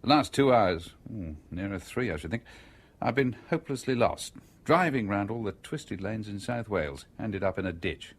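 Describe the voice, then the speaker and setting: An actor with a British accent playing an inquisitive, composed engineer in a radio drama, urbane and conversational. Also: male voice, on the low side, lively intonation, moderate pace. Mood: matter-of-fact. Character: inquisitive, coolly composed stranger, a male engineer.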